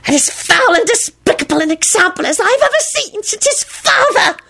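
A woman's voice: very high voice, big pitch swings, normal speed, bright, forceful tone, voice pushed up, exaggerated voice. A woman voicing a spiteful, servile male house elf who moans, hisses and spits insults in an audiobook story. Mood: venomous, angry. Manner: moaning, hissing, spitting out insults.

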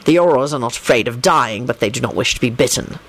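A woman voicing a calm, dignified male law officer with a polite, measured, dry manner in a story reading. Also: rumbling low, voice pushed down. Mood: serene.

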